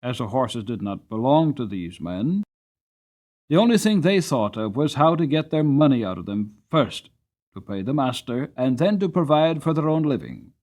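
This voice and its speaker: A man's voice: mid-range voice, sing-song, normal speed, a little mellow. A man reading a classic novel aloud as an audiobook narrator, in a dramatic storytelling style.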